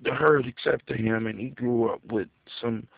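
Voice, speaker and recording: A man's voice: mid-range voice, normal speed, gravelly, slightly rough. A man telling a folktale off the cuff for grown-up listeners, dramatic and emphatic, repeating phrases for effect. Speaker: storyteller. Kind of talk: improvised oral folktale telling for adults. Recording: echoey, muffled phone-like audio.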